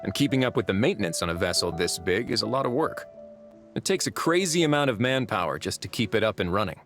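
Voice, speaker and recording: An actor playing a calm, teasing prison warden with a dry manner. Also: male voice, fairly low pitch, quick pace, lightly acted. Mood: wry. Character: calm prison warden man, wry and composed. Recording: game audio with music behind.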